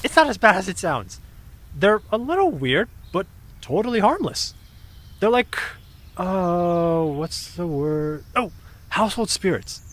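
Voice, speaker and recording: A young woman in an audio drama, with a slightly husky voice and animated intonation. She is easygoing and a little sheepish, explaining odd spirit lore as if it were plain fact, with a teasing edge. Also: fairly low pitch, measured pace.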